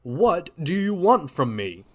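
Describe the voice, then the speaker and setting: 1940s radio hero, earnest and commanding, pitch swings wide. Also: male voice, sing-song, slow pace, noisy background. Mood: demanding. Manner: earnest, dramatic, declamatory.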